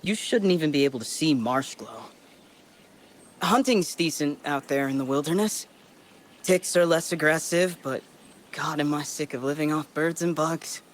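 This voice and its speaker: A man's voice: a little high, normal speed, natural voice. An actor playing a lonely male colonist survivor, bitter and weary, rambling through a desperate audio log for a game, with faint ambient noise behind.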